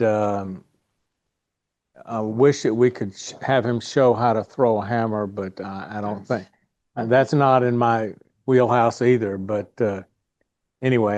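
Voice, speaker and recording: A man hosting a meeting, giving informal announcements and introducing a guest in a halting, easygoing way. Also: mid-range voice, a little mellow, muffled recording. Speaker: club meeting host. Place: indoor meeting room.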